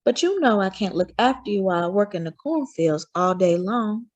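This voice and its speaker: A woman voicing a loving, patient mother who gently reassures her young son in a children's storybook read-aloud. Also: mid-range voice, expressive, moderate pace, lightly acted. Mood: tender, reassuring.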